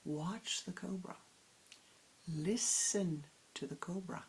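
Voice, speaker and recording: A woman voicing a wise, gentle old grandmother who speaks in a soft whisper, in a told story. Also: lowish voice, slow, a little hiss or hum, low-quality recording. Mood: solemn.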